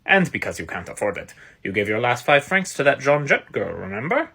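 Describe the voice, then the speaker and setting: A voice actor playing a wry, teasing young man who talks quickly and bosses his friend. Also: mid-range voice, sing-song, creaky, fairly bright tone, breathy edge, pitched below the performer's own voice, heavily acted.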